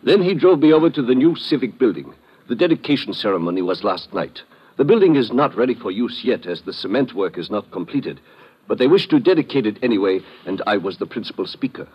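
An actor playing a polished, over-eager official under suspicion in an old-time radio drama, formal and courteous with protesting turns. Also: male voice, fairly low pitch, sing-song, fairly quick, slightly rough. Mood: solemn. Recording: muffled vintage radio recording.

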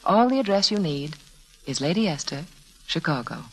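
An American woman giving a measured, confiding sales pitch as hostess of a 1940s radio show. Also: very animated, poor audio. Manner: measured, confiding, direct address. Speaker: sponsor's hostess. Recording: old radio broadcast, faint noise.

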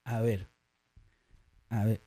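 A man's voice: fairly low pitch, very slow. A man with a Dominican accent hosting a podcast, chatting casually and joking around. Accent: Dominican Spanish. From Dominican Republic. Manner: joking, animated, teasing. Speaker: podcast host.

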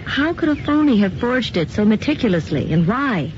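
A woman's voice: a little high, big pitch swings, moderate pace. Insistent woman, muffled radio drama recording. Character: firm, argumentative woman defending a manuscript's authenticity. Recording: muffled, faintly noisy old radio recording.

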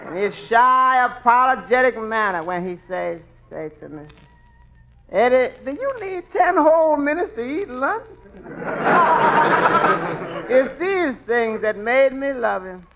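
A deadpan meek waiter. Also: male voice, extremely high pitch, slow, pauses often, muffled recording, loud music behind the voice, heavily acted. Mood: dry. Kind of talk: 1940s radio comedy acting.

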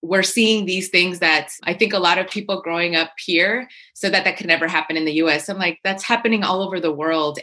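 A woman in her forties from California talks earnestly on a panel, her voice bright and forceful. She sits a little low in pitch for a woman and often restarts her sentences as she works through a thought. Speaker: panel guest. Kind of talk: candid discussion.

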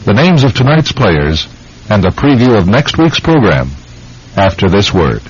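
A man's voice: fairly low pitch, sing-song, measured pace, a little hiss or hum. A man reading scripted credits as the director and announcer of a radio drama series. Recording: muffled radio sound under music.

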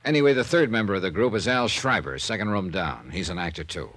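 A wry insurance investigator. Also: male voice, deep voice, lively intonation, fast talker, fairly bright tone, no echo. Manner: dry, clipped and wry. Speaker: narrator and lead. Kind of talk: 1950s radio drama acting.

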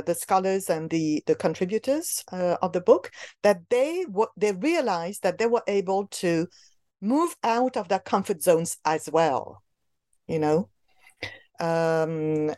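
A woman's voice: on the low side, mellow, no room sound, studio quality. A woman being interviewed about a book she edited. She speaks in an academic way and hesitates often, with many fillers and restarts. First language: not English.

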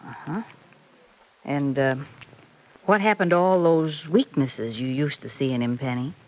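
An actress playing a patient, affectionate middle-aged mother in a classic radio soap, gently probing and coaxing with concern. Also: low voice, a little slow, rough recording. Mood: gently questioning. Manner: coaxing, questioning, tender endearments. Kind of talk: mid-century radio soap acting.

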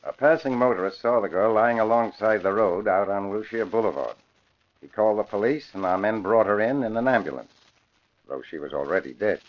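An actor playing a formal, placating police commissioner in an old-time radio drama. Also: male voice, on the low side, expressive, a little fast, natural voice. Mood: formal, reassuring. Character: reassuring, official police commissioner. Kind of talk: 1940s radio drama acting. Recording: dull vintage radio recording.

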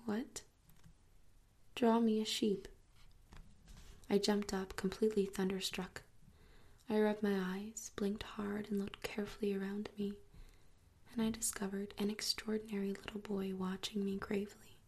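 A woman reads a story aloud almost entirely in a soft whisper. Her voice is breathy and gravelly, with very little rise and fall. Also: mid-range voice, level tone, normal speed. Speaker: narrator. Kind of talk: whispered audiobook reading.

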